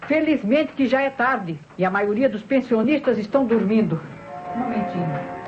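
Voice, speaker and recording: A woman's voice: fairly high pitch, animated, moderate pace, music in the background, lightly acted. An actress playing an anxious but obliging boarding-house landlady in 1960s radio drama style. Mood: anxious, helpful. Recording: muffled, noisy old radio recording.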